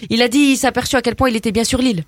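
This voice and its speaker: Casting: woman as a cheeky suburban girl, banlieue slang, high and fast, radio comedy. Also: steady tone, natural voice. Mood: chatty. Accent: French banlieue slang. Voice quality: slangy, talks in a put-on street manner. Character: cheeky young suburban woman, brash and naive. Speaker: comedy columnist. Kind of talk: scripted radio comedy sketch.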